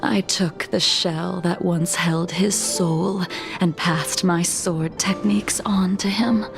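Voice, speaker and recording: A woman voicing a cold, stoic immortal swordswoman in a video game, measured and grave with a breathy edge to the voice. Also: fairly low pitch, steady tone, over music. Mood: bitter, grave. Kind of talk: dramatic game dialogue.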